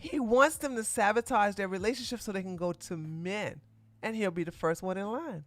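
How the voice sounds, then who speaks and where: A woman streamer reacting to a video in casual, blunt commentary, speaking with emphatic force and sometimes breaking into loud, indignant outbursts. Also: medium pitch, normal speed, no echo.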